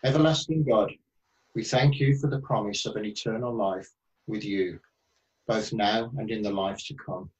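A man's voice: on the low side, fairly even intonation, normal speed, darkish tone, rough recording. A man in his fifties reading out a prayer into a webcam at home. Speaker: church member leading prayer. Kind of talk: read-out prayer. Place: bedroom-like room at home. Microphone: webcam at desk distance.

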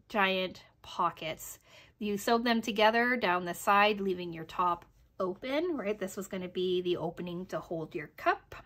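A woman narrates a hobby review in a casual, chatty way, at an average pitch for a woman. Her voice is a little hoarse, and she feels her way through sentences, often pausing to check in with the listener. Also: a bit bright. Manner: hesitant, chatty, trailing off and checking with "right?". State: slightly hoarse. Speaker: sewing pattern reviewer. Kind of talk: casual review narration over project photos.

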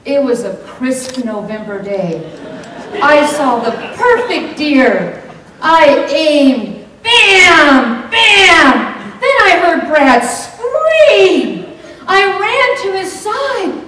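A middle-aged woman performing a comedic tall tale on stage, with theatrical, deliberate delivery and dramatic pauses. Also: sits high, very animated, a bit bright. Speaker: speech contest contestant. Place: hotel banquet room, indoors. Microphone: far camera microphone. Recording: echoey room from far off, some noise.

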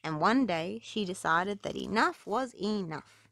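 A woman's voice: mid-range voice, expressive, a little slow, gravelly, fairly bright tone, no room sound. A woman narrating children's stories and rhymes aloud as a storybook reader, recorded close up indoors.

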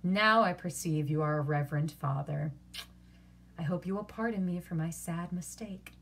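A woman's voice: on the low side, moderate pace. A woman voicing an eager-to-please storybook wife, sweetly gushing with flowery, exaggerated politeness. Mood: apologetic.

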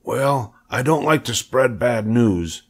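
A man's voice: medium pitch, expressive, slow pace, voice pushed up. A man playing a gloomy old female sheep in a storybook, speaking in a matter-of-fact, snappish, weary way. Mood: ominous, matter-of-fact.

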